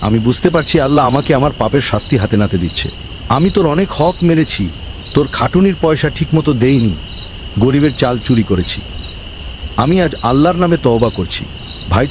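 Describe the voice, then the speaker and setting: A man acts out a greedy, bossy village councilman from a comic cartoon, cowardly underneath his pomp. He speaks mid-pitched, with melodramatic exclamations. Clear noise and night insects sit behind him. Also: lightly acted. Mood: remorseful. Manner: pompous, exclamatory, pleading when frightened.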